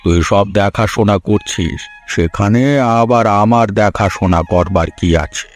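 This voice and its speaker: A man's voice: fairly low pitch, measured pace, close to an everyday voice. A man voicing a shrewd, stubborn old former landlord in a cartoon fairy tale, firm and lecturing. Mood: firm, wary.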